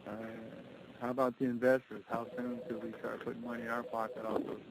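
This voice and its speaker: A male investor caller with a gravelly edge and flat intonation, asking questions on a company conference call. The line is heavily noisy. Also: medium pitch, fast talker.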